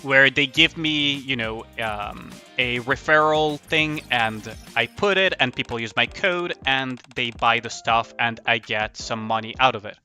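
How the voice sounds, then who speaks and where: A man chatting casually on a game livestream, telling stories in a rambling, animated way with casual swearing. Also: mid-range voice, measured pace, hardly any pauses. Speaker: live streamer. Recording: music behind the voice.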